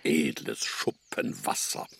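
An actor playing a sly, greedy vagabond with grand pretensions, wheedling and pompous, in a children's radio play. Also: male voice, slow, fairly bright tone.

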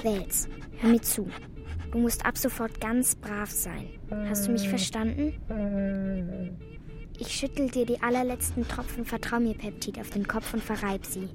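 A woman playing a resourceful, anxious girl in a children's radio drama, urgent and pleading. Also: a little high. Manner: urgent, pleading, quick-witted. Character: resourceful, anxious girl devoted to her dog. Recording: music behind the voice.

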